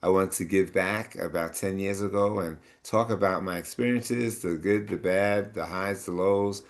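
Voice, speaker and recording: Very low-voiced man hosting a panel. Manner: halting, many fillers and restarts. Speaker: panel moderator. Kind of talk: personal testimony then moderating a panel. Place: community panel event.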